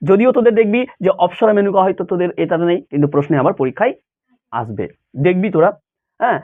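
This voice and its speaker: A man teaches in an informal, conversational way, pitched a little high with lively swings. He keeps asking short questions to check that his students follow. The sound is thin and narrow, like a phone. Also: fast talker. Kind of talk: informal lesson, explaining a story to school students.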